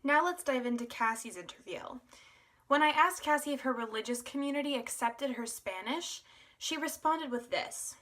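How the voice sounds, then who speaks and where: Bright-voiced woman reading an academic analysis. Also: sits high, animated, moderate pace. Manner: reads a prepared analysis. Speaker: student presenter. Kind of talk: scripted academic narration.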